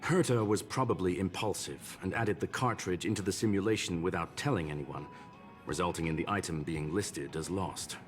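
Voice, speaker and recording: An actor voicing a learned, formal gentleman robot in a video game, with courteous, measured phrasing. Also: low-pitched, close to an everyday voice. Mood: calm, explanatory. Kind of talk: scripted video game dialogue. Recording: game music behind.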